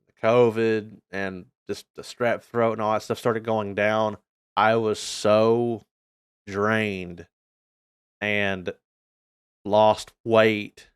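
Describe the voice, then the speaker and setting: Clear-voiced North American man, casual vlogger. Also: on the low side, dry recording, studio quality. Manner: informal, rambling, earnest. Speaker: gaming YouTuber and streamer. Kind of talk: casual channel update vlog.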